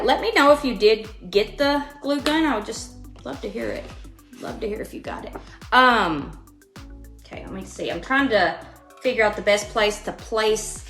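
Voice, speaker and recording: Chatty southern lady from kentucky doing a live craft tutorial, bright forward voice, folksy and informal, bit of music in back. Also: fairly high pitch, moderate pace. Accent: Western Kentucky Southern US. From Western Kentucky, United States. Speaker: craft host. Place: indoors at a home craft table.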